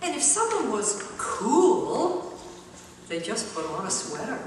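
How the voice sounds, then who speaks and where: A woman's voice: a little high, huge pitch swings, takes it slowly, full character voice. A woman playing a chirpy wife in an old-time radio comedy, with a projected, theatrical delivery on a theater stage. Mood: nostalgic, inviting. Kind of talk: 1940s radio comedy acting. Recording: echoey hall from far off, faint noise.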